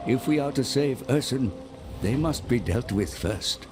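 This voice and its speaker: An actor playing a mysterious, scheming advisor who narrates a video game cinematic in a grave, formal, deliberate manner. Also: male voice, mid-range voice, lively intonation, close to an everyday voice. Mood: grave, counselling.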